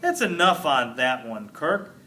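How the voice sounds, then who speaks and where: A man's voice: mid-range voice, big pitch swings, measured pace, sharp tone, distant mic, a little hiss or hum. A man reading a storybook as a kindly, encouraging woman schoolteacher who leads her class. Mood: encouraging, friendly.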